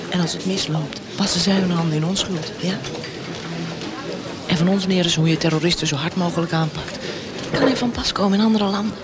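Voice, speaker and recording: An actor playing a cynical, mocking secret service lieutenant in a radio drama, taunting and sardonic. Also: male voice, high voice, fairly quick, crowd noise, natural voice.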